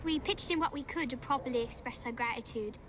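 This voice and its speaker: High, quick, polite girl. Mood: grateful, formal. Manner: formal, polite. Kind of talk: video game cutscene acting. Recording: voice amid loud background noise.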